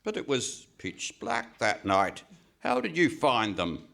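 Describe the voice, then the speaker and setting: Man playing a bright, blustering police inspector. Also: medium pitch, wildly swooping pitch, slow, vocal fry, exaggerated voice. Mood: blustering, triumphant. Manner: theatrical, blustering. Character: pompous, excitable male police inspector. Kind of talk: parody of 1950s radio comedy acting. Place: live on stage in a hall.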